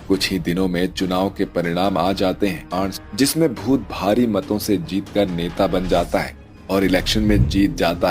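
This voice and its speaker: A man's voice: low voice, darkish tone. A man reading scripted narration for a cartoon story.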